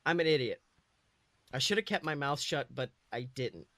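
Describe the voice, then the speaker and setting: A man's voice: medium pitch, lively intonation, normal speed, bright, forceful tone, dry recording, clean studio sound, voice pushed down. A man voicing an eager, proud eleven-year-old wizard boy who tells his own story in a chatty, self-correcting way. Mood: regretful. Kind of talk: first-person dramatic storytelling.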